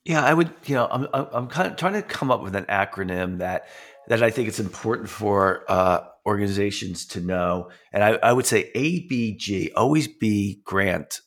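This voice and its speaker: A man hosting a podcast while he has a head cold, which leaves him nasal. He talks in a halting way, with many fillers and restarts. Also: on the low side, lively intonation, a bit bright. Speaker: podcast host. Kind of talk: casual interview, reading sponsor spots.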